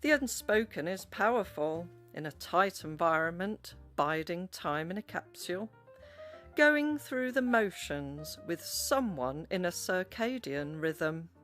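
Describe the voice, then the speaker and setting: A woman recites poetry in a solemn, measured way. Her voice sits a little low and moves slowly and deliberately. Faint guitar music plays behind her. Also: expressive, a bit bright.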